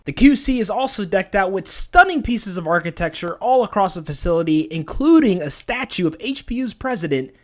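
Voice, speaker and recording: A young American man delivering sports news and commentary with an emphatic broadcast delivery. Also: high voice. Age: young adult. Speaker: student sports anchor, reporter and play-by-play commentator.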